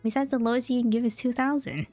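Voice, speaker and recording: Mellow-voiced woman streaming a game. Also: a little high, fairly even intonation, fast, faint music behind. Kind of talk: casual gameplay commentary.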